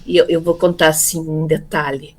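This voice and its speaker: A woman in her fifties from Brazil, telling her life story in a relaxed interview, pausing often with fillers and repeated words. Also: deep voice. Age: 50s. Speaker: interviewee, theology graduate. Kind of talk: casual conversational interview.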